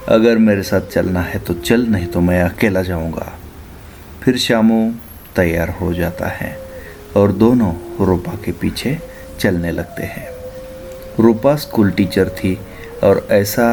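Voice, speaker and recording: Male narrator for scripted horror folktale, lowish register, very unhurried delivery. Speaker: storyteller. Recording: prominent music under the voice. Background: music.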